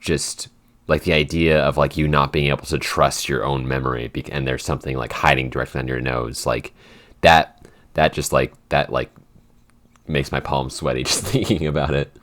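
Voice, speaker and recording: A man's voice: rumbling low, level tone, fairly bright tone. A man from the Pacific Northwest, co-hosting a podcast as its writer, in casual chat full of "like" fillers and short laughs. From Pacific Northwest, USA. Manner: halting, many "like" fillers, laughs between phrases.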